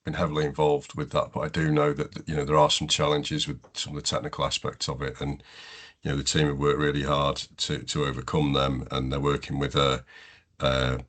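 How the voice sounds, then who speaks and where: A man with an extremely deep voice chairs an online webinar. He rambles through his links with many "you know"s and restarts, and his sound comes over a call. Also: monotone, a little fast, fairly bright tone. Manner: rambling, filler-heavy, restarts. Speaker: webinar host. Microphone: video call.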